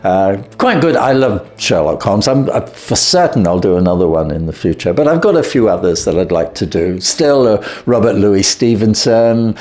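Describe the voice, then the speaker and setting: A male narrator reading a classic tale, with a voice that rises and falls in lively turns. In his own chat he pauses and hesitates often. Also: on the low side. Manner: hesitant, many fillers in chat. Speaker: audiobook reader. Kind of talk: storybook narration and casual sign-off.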